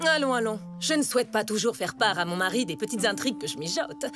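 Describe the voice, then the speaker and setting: A woman's voice: mid-range voice, lively intonation, fast talker, natural voice. A woman playing a haughty, scheming wealthy noblewoman in a cartoon dub, with a grand, affected manner. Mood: sly, wheedling. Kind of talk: French cartoon dub acting. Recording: music under the dialogue.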